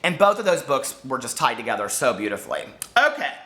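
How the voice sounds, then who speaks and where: A man in his forties chatting casually and excitably to viewers as a book vlogger, gushing and a little campy. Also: mid-range voice, fast talker. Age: 40s. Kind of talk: casual chat to viewers, book tag video.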